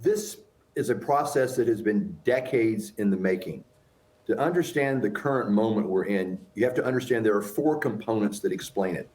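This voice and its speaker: A man with an American accent giving measured, structured answers as an expert guest in a news interview. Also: on the low side, a little mellow. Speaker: expert guest, former aviation official.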